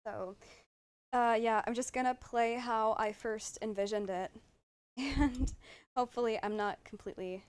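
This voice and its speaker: High-voiced, unhurried woman, hesitant and self-effacing. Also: steady tone, clear, resonant tone. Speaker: amateur singer-songwriter on a livestream. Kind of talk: casual chat.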